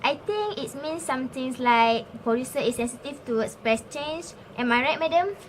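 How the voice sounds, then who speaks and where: Very high-pitched young Malaysian woman, eager student. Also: expressive, normal speed, slight background noise, rough recording. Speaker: student in a classroom skit. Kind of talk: acted classroom answers and presenting.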